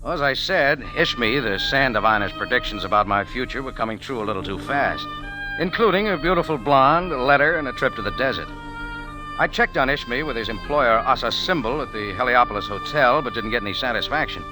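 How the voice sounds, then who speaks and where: A man's voice: medium pitch, animated, a little fast, close to an everyday voice, rough recording. A man playing a wry, tough adventurer with an American accent, narrating his story in dramatic old radio style. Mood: tense, measured. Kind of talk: 1940s-50s hardboiled radio drama narration. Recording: vintage radio, music behind.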